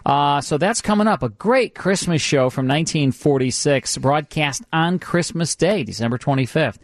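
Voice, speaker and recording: A man's voice: on the high side, expressive, normal speed, no echo. A man hosting a radio show with casual, jokey, enthusiastic banter in a studio.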